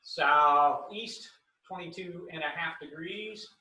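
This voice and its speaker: A man leads a martial arts drill over a video call and calls out each move at an unhurried pace. His voice is bright and a bit higher than most men's. He sits back from the microphone in an echoey room. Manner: calls out commands, relaxed and explanatory. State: bothered by low back pain. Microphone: computer mic on a video call. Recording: roomy video call audio from a distance.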